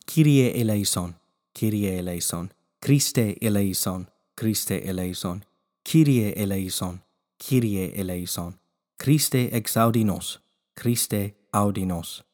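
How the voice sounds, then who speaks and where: A man's voice: lowish voice, a little mellow. Congested man hosting a prayer podcast. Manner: quick announcements, slow measured chanting of a litany. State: congested, recovering from lost voice. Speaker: podcast host. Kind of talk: podcast talk and prayer recitation.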